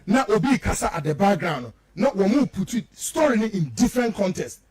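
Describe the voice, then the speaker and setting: A man's voice: high-pitched, big pitch swings, brisk, crowd noise. A Ghanaian man giving emphatic, repetitive political commentary as he switches between two languages, full of rhetorical turns.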